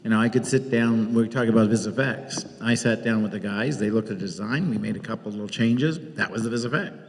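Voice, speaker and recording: A man's voice: on the low side, fairly quick, a little hiss or hum. A man, a TV producer on a live panel, telling work anecdotes in an emphatic, conversational way. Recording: echoey hall with long reverb.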